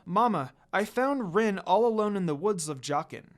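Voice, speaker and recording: A man voicing an eager, sweet young fox demon boy in a story, with an excited childlike manner. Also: high voice, normal speed. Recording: music under the voice.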